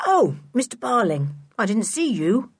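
A woman voicing a bold, bossy, quick and secretive schoolboy in a children's audiobook, with an urgent, exclaiming manner. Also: medium pitch, big pitch swings, a little mellow, husky, no echo. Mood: polite, explaining. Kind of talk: children's audiobook character acting.

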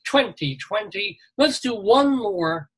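Middle-aged male radio host with a husky voice; wisecracking news reader. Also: sits high, lively intonation, takes it slowly. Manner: jokey, reads news items with quips. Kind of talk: comedic news chat.

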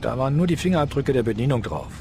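A man's voice: medium pitch, very animated, racing, a little mellow, slight background noise. A man playing a wry, colloquial forensic lab technician in an audio drama. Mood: intrigued. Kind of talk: audio drama acting of a video game story. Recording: music under the voice.

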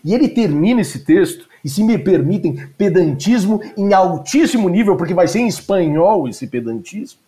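A man's voice: high-pitched, animated, normal speed, hardly any pauses, warm tone. A male teacher giving a lecture, digressing with frequent asides and fillers as he talks. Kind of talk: lecture discussion.

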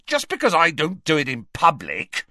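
A man's voice: a little high, wildly swooping pitch, moderate pace, no room sound, clean studio sound, pitched above the performer's own voice, exaggerated voice. Man voicing a blustering, hot-headed teenage boy. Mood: furious. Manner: blustering, loud, indignant.

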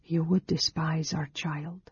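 A man voicing a gentle, sorrowful woman of a magical forest race in a storybook, tender, halting and trembling. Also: high-pitched, fairly even intonation, no echo, muffled recording, pitched above the performer's own voice, full character voice. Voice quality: soft, breathy, trembling.

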